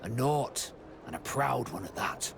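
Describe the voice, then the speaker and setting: An actor playing a proud, hot-headed sea captain in a video game. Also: male voice, medium pitch, lively intonation, a little slow. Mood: reflective. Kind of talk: video game cutscene acting. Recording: clear background ambience noise.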